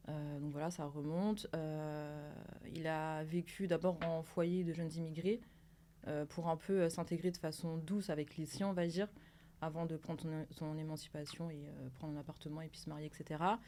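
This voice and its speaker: A young woman from Paris, speaking calmly and reflectively on a round-table podcast. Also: deep voice, normal speed, slight background noise. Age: young adult. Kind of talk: casual round-table chat.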